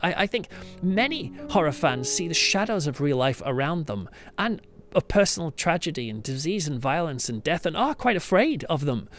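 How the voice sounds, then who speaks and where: A man hosting a podcast in a reflective, semi-scripted monologue, earnest and thoughtful, with emphatic, dramatic phrasing. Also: fairly high pitch. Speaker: podcast host, church pastor. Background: background music.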